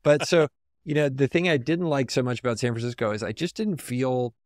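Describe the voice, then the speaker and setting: A man's voice: mid-range voice, fairly quick, darkish tone, dry recording, clean studio sound. A man from San Antonio, a podcast guest talking reflectively in a casual, rambling way with lots of "like.". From San Antonio, Texas.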